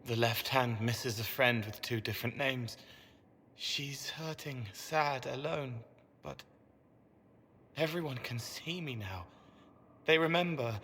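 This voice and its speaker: An actor playing a gentle, troubled young man with a hesitant, wistful manner, in a video game cutscene. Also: mid-range voice, slight background noise. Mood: sad, searching. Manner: hesitant, halting, plain-spoken and wistful. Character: gentle, troubled young man, once a spirit, childlike and haunted.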